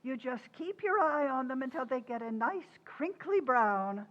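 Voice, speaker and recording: Woman playing a fussy, high-pitched, prying mother-in-law. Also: animated, measured pace. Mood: nosy, patronising. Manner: sing-song, falsely sweet needling. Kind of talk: old-time radio sitcom acting. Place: community theatre stage radio recreation. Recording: dull, lo-fi stage recording.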